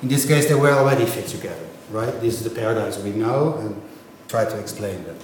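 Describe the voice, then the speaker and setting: Dutch-native male lecturer, patient explaining, very echoey hall, faint background noise; steady, mid-pitched voice. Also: brisk. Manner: explanatory, checks understanding with frequent "right?". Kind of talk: university lecture on linguistics. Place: lecture room.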